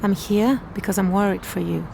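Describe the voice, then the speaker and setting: A woman's voice: mid-range voice, normal speed, vocal fry, a little hiss or hum, close to an everyday voice. Woman acting a guarded, earnest confidante. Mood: worried, insistent. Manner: restrained, direct, gently insistent. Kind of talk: audio drama acting.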